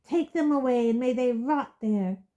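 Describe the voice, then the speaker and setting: A woman's voice: fairly high pitch, fairly even intonation, measured pace, lo-fi sound, natural voice. A woman voicing a harsh middle-aged male judge who bellows his verdicts. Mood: cold fury. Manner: loud, cold, bellowing.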